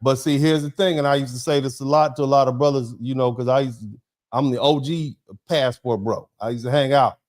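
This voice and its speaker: A man's voice: medium pitch, fairly even intonation, a little fast, a little mellow. A man hosting a casual talk show, speaking emphatically and often repeating his words as he drives home a point. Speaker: host. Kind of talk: casual commentary chat.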